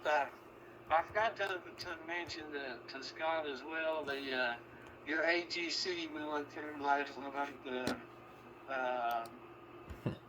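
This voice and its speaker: A man giving halting, rambling technical chat as an amateur radio operator over digital radio. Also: fairly high pitch. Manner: rambling, halting, thinking aloud. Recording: noisy narrow-band digital radio reception.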